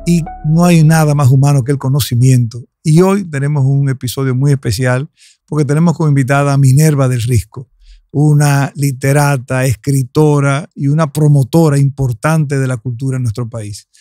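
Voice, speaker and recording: A man's voice: mid-range voice, fairly even intonation, normal speed, mellow, no room sound, clean studio sound, soft background music. A man with a Dominican Spanish accent hosting a conversational podcast interview. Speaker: podcast host and ophthalmologist.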